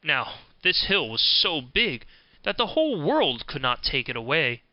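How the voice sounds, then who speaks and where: A man narrating a scripted fairy tale as an audiobook, in a measured storybook manner. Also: on the high side, sing-song, no echo, lo-fi sound.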